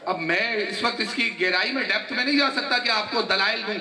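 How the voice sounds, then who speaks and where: A man delivering a religious sermon to a gathering, asking rhetorical questions, repeating phrases and rising to shouts. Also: high voice, fairly quick. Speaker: majlis speaker. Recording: echoey, distant mic, crowd behind.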